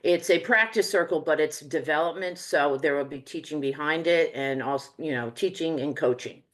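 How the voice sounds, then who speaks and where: A woman with a New Jersey accent, speaking as a guest in a relaxed, rambling interview chat over a video call. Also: deep voice, normal speed. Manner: relaxed, rambling, with many fillers. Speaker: medium and teacher.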